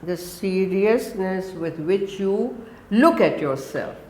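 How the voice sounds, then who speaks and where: A woman in her seventies, a guest from India who speaks Gujarati as her first language. She talks in English with an Indian accent and gives emphatic answers in quick lists. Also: medium pitch, expressive, darkish tone. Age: 70s. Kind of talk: conversational interview. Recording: roomy echo.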